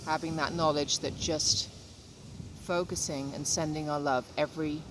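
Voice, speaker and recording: Even-toned woman guiding meditation, noisy background. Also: sits low. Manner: gentle measured delivery with frequent pauses. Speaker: meditation guide. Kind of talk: guided meditation.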